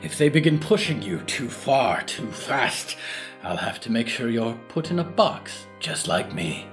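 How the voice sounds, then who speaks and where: An actor playing a gentle, cautious mentor in an audio drama, speaking in a measured, halting, courteous way. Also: male voice, mid-range voice, sing-song, soft background music. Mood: thoughtful, grave. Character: gentle, cautious confined mentor with odd scholarly musings. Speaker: teacher to a student.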